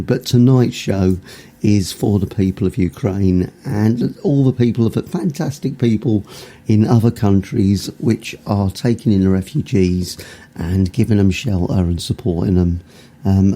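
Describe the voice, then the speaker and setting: A man's voice: on the low side, unhurried, dark tone. A man hosting a radio music show, talking casually with a sore throat and a rambling, filler-heavy manner. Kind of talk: casual radio presenting between songs.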